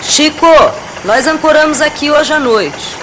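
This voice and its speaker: An actress playing an Amazon-born guide in an audio drama, wistful, playful and sincere, with a Brazilian accent. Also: female voice, sits high, normal speed, noisy background, lightly acted. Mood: helpful. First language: Portuguese.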